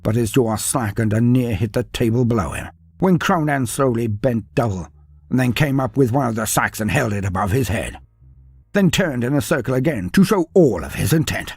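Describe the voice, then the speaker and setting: A man narrating a scripted fantasy tale in an animated way, dropping in wry asides. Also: mid-range voice, normal speed, slightly rough, no echo, clean studio sound. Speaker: storyteller.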